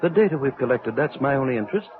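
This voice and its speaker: An actor playing a stern, gloomy older doctor of science in a radio drama, formal and admonishing in manner. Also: male voice, mid-range voice, animated, fast talker, crowd noise, lightly acted. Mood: guarded, stern. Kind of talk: old radio drama acting. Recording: thin phone-like old radio recording.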